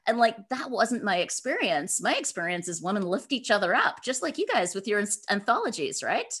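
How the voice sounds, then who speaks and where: A middle-aged woman giving conversational interview answers as a guest author, animated and given to acting out quotes. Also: mid-range voice, a little fast, breathy edge. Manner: animated, acts out imagined quotes.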